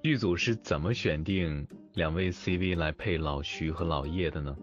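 A man's voice: low-pitched, moderate pace. A man, a voice actor, chatting casually in a modest, self-deprecating and hesitant manner. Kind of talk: casual group chat. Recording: muffled online voice chat, music behind. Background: background music.

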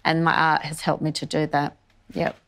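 Older female artist, low-pitched and fairly flat, reflective interview delivery, candid and explanatory. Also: moderate pace, creaky. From born in northern Greece, moved to Australia as a child. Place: indoor wood-panelled art studio. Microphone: lapel mic.

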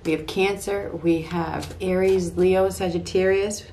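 Low-voiced middle-aged woman reading tarot. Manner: halting, repeats phrases as she thinks aloud. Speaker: tarot card reader. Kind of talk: improvised tarot reading to camera. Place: small home room, indoors. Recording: clear steady background room noise.

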